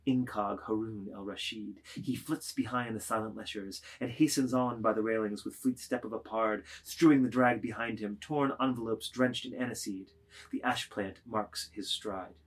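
A man narrating a literary drama, reading stage directions and lists with deliberate, emphatic phrasing. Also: lowish voice, fairly even intonation.

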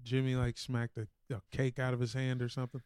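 A man's voice: mid-range voice, monotone, quick pace, darkish tone, smooth, no echo, studio quality. An American man co-hosting a podcast with casual, chatty sports banter. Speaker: podcast co-host.

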